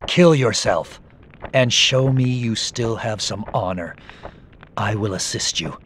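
An actor playing a stern, honorable ninja assassin in a video game, speaking in a formal, solemn way. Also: male voice, fairly low pitch, slow, a bit bright, a little hiss or hum. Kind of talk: video game cutscene acting.

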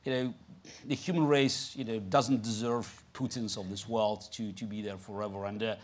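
A man in his fifties with a Russian accent, a native Russian speaker talking as a guest on a theatre stage, halting, with many restarts and "you know" fillers. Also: on the low side, steady tone. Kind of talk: onstage conversation before an audience. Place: large theatre stage, indoors.

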